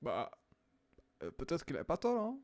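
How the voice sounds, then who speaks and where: A young man casually reacting to videos, hesitant with many fillers, sometimes bursting into loud exclaiming. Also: on the high side, huge pitch swings, normal speed. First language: not English.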